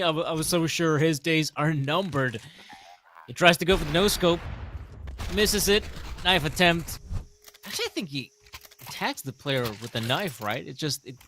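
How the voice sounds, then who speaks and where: Higher-voiced analytical esports co-caster. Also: male voice, pushed and bright, slight background noise. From the Baltics. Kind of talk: live esports commentary.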